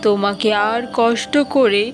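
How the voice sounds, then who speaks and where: A woman voicing a mysterious female spirit from the underworld in a cartoon fairy tale, commanding and deliberate, stern yet benevolent. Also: mid-range voice, flat delivery, close to an everyday voice. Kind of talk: animated fairy tale dialogue. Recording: voice over light background music.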